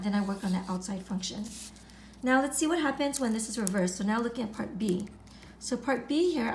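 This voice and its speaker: Woman math teacher, raspy sick voice, a bit high, patient and repetitive, faint hiss in the recording. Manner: patient, repeats each step, clears throat often. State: raspy from illness. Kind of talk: screen-recorded math lesson.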